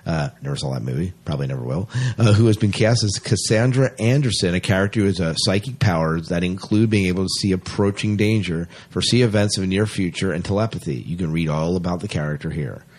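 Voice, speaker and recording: A man's voice: low-pitched, quick pace, hardly any pauses, darkish tone. A man co-hosting a podcast, reading out news and chatting casually with enthusiastic opinions. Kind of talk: casual podcast chat mixed with read-out news.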